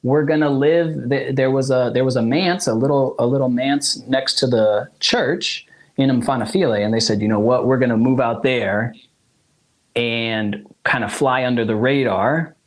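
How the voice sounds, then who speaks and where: A man's voice: mid-range voice, steady tone, normal speed. A man in his forties from South Africa whose first language is Zulu, telling his family story as a musician guest in a casual interview, rambling with frequent laughs and restarts.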